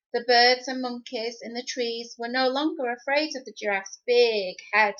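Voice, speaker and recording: A woman reading a children's story expressively, with deliberate, dramatic phrasing. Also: on the high side, a bit bright. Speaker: storybook reader. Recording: slightly dull, lo-fi sound.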